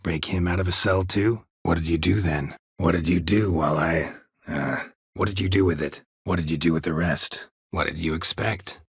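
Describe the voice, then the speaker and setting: An actor playing a gruff, stoic, sardonic middle-aged monster hunter in a video game, a gravelly rasp and terse, deadpan delivery. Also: male voice, sits low, normal speed, no room sound, studio quality, heavily acted. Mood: dry, questioning. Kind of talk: video game character dialogue.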